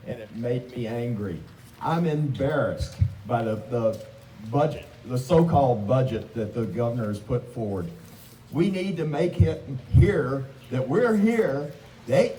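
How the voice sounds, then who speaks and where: A man, a state representative and iron worker, giving a rally speech and leading a loud call-and-response chant. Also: roomy sound, far from the mic, slight background noise, rough recording. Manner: loud and booming, calls out to the crowd.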